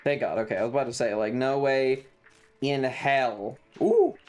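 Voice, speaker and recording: A man's voice: medium pitch, moderate pace, a little mellow, faint music behind. A man live streaming a video game in a casual, chatty way, sarcastic and exasperated, often reading game text in a dismissive manner.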